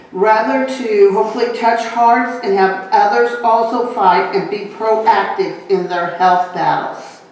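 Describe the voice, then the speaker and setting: A woman's voice: medium pitch, a little mellow. Woman reading an introduction in an echoey hall. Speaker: introducer of the speaker. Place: church hall. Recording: echoey hall, picked up from a distance.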